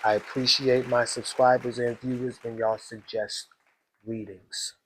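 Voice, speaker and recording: Slow-talking hoarse man reading astrology. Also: medium pitch, little pitch movement, a bit bright, a faint crowd, slight background noise, poor audio. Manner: slow, deliberate, talks straight to viewers. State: hoarse, losing his voice. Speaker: astrology channel host. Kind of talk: casual astrology reading to viewers.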